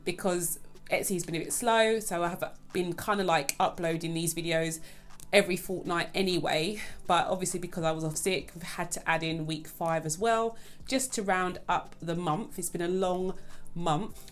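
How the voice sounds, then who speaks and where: A woman hosting her own budgeting channel, talking casually and chattily to her viewers. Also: lowish voice. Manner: chatty, keeps addressing viewers as "guys". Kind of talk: casual vlog chat.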